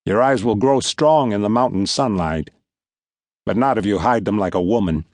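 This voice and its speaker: A man's voice: fairly low pitch, moderate pace, no echo, studio quality, close to an everyday voice. Man acting stern, mocking veteran guerrilla commander. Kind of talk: acted audiobook dialogue.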